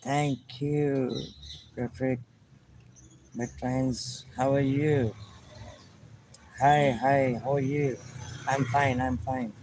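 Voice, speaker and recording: Slow-talking Pakistani man, halting English. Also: mid-range voice, flat delivery, noisy background. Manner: simple halting English, repeats phrases, warm greetings. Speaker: vlogger chatting with strangers. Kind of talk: casual friendly chat.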